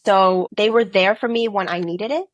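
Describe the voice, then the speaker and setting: A teenage girl, an exchange student, telling her story in a casual interview, animated and quick to quote people. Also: medium pitch, no room sound, dull audio. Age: teens.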